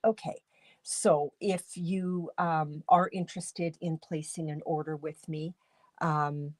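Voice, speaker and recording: A woman from Canada hosting a casual craft livestream from her home studio, congested with a cold, chatty and rambling, often clearing her throat. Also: lowish voice, darkish tone, dry recording. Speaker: livestream host and stamping demonstrator.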